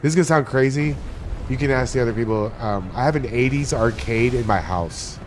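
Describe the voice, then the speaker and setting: A man's voice: medium pitch, a little fast. A man from Miami chatting casually and profanely while streaming a game, rambling from one topic to the next. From Miami, USA. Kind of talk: casual live-stream chat while gaming. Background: game music.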